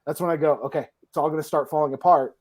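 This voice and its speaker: A man's voice: fairly high pitch, fairly even intonation, fast. A man in his early thirties, a podcast guest talking casually, rambling and restarting his sentences with a laugh. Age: 30s. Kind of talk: casual chat.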